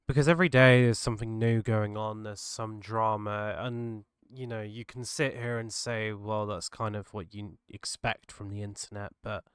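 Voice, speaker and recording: A British man hosting his own podcast, talking earnestly and haltingly, his voice gravelly from a cold. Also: on the low side, no echo, clean studio sound. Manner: earnest, halting, restarts mid-thought. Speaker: podcast host. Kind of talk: solo reflective podcast monologue.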